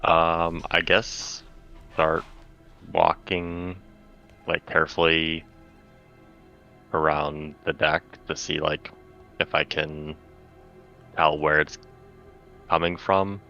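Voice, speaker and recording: A man playing a tabletop roleplaying game, talking casually in halting, hesitant phrases. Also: very deep voice, flat delivery, sharp tone. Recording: dull, lo-fi mic, faint music.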